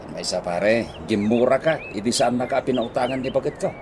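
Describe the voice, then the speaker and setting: A man's voice: medium pitch, brisk, close to an everyday voice. An actor playing a blunt friend who scolds his jobless pal in a preachy, emphatic way in a comedy radio drama. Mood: reproachful. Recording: clearly noisy ambience behind.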